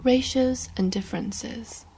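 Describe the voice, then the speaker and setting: A woman reading her own literary short story in a measured, understated way. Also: fairly high pitch, dark tone, slight background noise.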